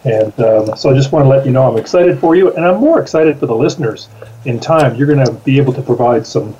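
A man's voice: mid-range voice, lively intonation, fast, dark tone, highs cut off. A man from Canada, a business coach, putting friendly interview questions in an upbeat, folksy way.

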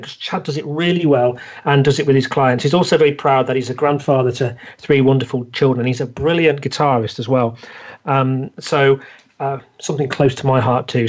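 Hesitant male podcast host. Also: on the high side. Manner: hesitant, many ums and restarts. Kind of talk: interview conversation. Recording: dull lo-fi audio.

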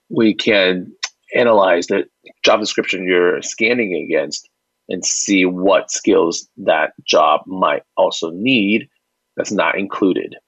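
A man speaking as an interview guest, explaining his product conversationally in a halting way with repeated words. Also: sits low, breathy edge, dry recording. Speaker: company founder and CEO, interview guest. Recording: dull lo-fi band-limited audio.

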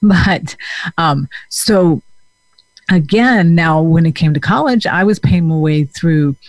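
Man in his forties, fairly high voice, dry close sound, hesitant reflective talk with fillers. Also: lively intonation, takes it slowly, no echo, poor audio. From Denmark. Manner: thoughtful, hesitant with many fillers. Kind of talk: casual reflective monologue.

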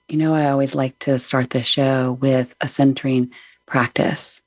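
Middle-aged female host, deep breathy voice, slow soothing meditation guide, dry close sound. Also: no echo. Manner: soft, soothing, unhurried guidance. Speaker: podcast host.

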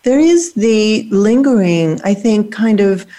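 A woman's voice: mid-range voice, lively intonation, slow pace, dark tone. A woman hosting an interview, asking long thoughtful questions and searching for words. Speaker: host. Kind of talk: interview conversation.

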